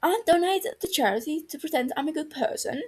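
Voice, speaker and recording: A woman's voice: high-pitched, expressive, brisk, pitched above the performer's own voice. A woman voicing a cynical, sardonic, exasperated British man in his twenties in an audio story. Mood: deadpan, cynical. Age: 20s. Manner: sardonic, sighing, withering. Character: dry, exasperated, cynical young British man, reserved realist. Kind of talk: fan fiction audio story dialogue.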